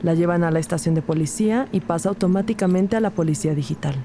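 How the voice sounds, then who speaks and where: A woman's voice: fairly low pitch, quick pace, slight background noise. A woman narrating a scripted Spanish-language crime audio drama. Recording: loud music behind the voice.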